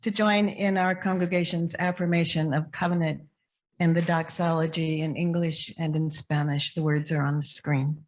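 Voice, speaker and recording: A worship leader reciting a church covenant in a measured voice, joined by the whole congregation. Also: medium pitch, flat delivery, poor audio. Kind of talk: unison liturgical reading. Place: church sanctuary. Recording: congregation reading along loudly.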